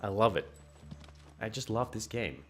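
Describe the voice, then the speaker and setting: A man with a Finnish accent chatting and joking over a game at home, his voice jumping up when excited. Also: on the low side, measured pace, faint music behind. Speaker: game streamer. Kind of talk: casual game commentary. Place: home room.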